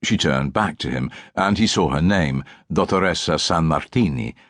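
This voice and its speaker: A man's voice: a bass voice, lively intonation, moderate pace, fairly bright tone, dry recording, studio quality. A man reading a crime novel as an audiobook narrator, in scripted literary prose with precise diction.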